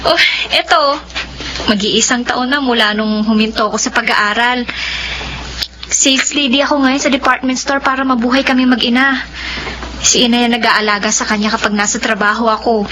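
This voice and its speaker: A woman's voice: on the high side, steady tone, moderate pace, bright, forceful tone, a little hiss or hum, poor audio. A woman playing a wistful but hopeful young single mother in a Filipino radio drama.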